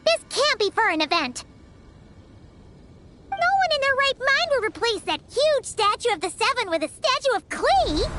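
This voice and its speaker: A tiny fairy girl companion from an animated game. The voice is extremely high and childlike, with a bright, squeaky edge. She is bubbly and chatty, and her pitch leaps about as she exclaims. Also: slight background noise, heavily acted. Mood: surprised, baffled. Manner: bubbly, exclamatory, refers to herself in third person. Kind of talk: animated video game character acting.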